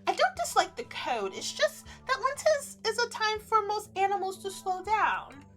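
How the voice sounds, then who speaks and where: A woman voicing a reserved, serene male winter spirit in a romance game, speaking in a measured, earnest, formal way. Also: very high voice, sing-song, a bit bright, faint music behind, pitched above the performer's own voice, full character voice. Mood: calm, matter-of-fact.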